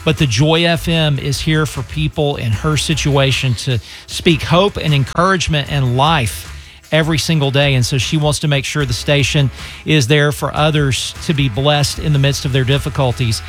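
A man hosting a radio fundraiser, making a steady, earnest appeal and reading donor names. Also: a little high, moderate pace, faint music behind. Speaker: radio host.

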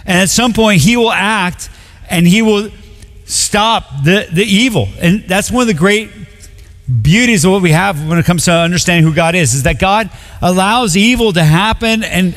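A young man from Colombia preaching a sermon in a relaxed, conversational way, full of "like" and quick restarts. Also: sits high. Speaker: pastor. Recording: long reverb of a large hall.

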